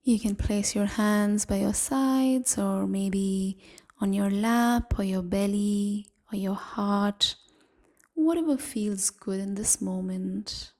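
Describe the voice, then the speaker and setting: A woman leading a guided meditation in a gentle, soothing, half-whispered voice, leaving long pauses between phrases. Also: medium pitch. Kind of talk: guided evening body scan meditation.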